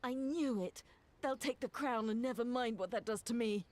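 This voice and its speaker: An actress playing a blunt, resentful adventurer with dry wit in a video game cutscene. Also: female voice, fairly high pitch, moderate pace, a little mellow, lightly acted. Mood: bitter.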